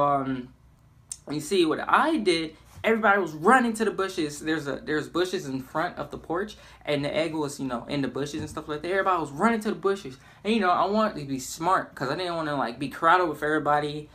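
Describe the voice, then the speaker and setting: A young man talks in an informal, rambling way, like a gamer telling stories to viewers. His delivery is casual and loose, with animated bits when he acts things out. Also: on the high side, brisk. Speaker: YouTube gamer and storyteller. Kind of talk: casual storytelling over gameplay.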